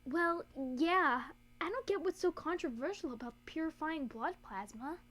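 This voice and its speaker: Very high-pitched young pony. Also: female voice, animated, normal speed, fairly bright tone. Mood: eager, naive. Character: small, eager young female pony apprentice. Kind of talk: scripted audio drama.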